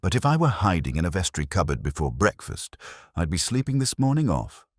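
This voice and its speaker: A man's voice: deep voice, sing-song, brisk, dry recording, voice pushed up. A man voicing a wry, deferential police sergeant in a detective story, dry and understated.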